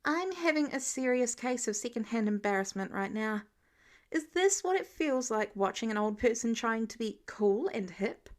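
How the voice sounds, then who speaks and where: Woman as a high-voiced, cheeky teenage intern boy. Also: expressive, normal speed, lightly acted. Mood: teasing.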